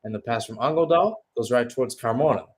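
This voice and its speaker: A man's voice: medium pitch, fast talker. A man giving live radio-style football play-by-play, mixing calls of the action with conversational, musing analysis. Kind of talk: live radio sports commentary.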